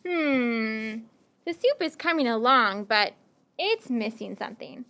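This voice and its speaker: Woman voicing a high, lilting wandering stranger puppet. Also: lively intonation, slow pace, a bit bright, heavily acted. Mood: musing, hinting. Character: friendly, tired, hungry wandering stranger puppet, cleverly coaxing. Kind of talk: children's puppet show acting.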